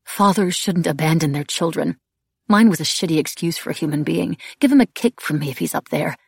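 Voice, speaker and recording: Audiobook role: bitter young woman, acted by a female narrator, husky and creaky, halting, pleading delivery. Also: low voice, darkish tone, no room sound, clean studio sound, heavily acted. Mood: bitter, challenging. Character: young woman, bitter, desperate, irreverent, praying to absent god. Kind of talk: audiobook character dialogue.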